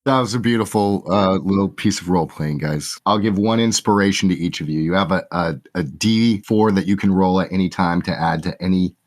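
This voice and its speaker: A man with a lowish voice narrating a tabletop game as game master. He gives rulings in a quick, easygoing way.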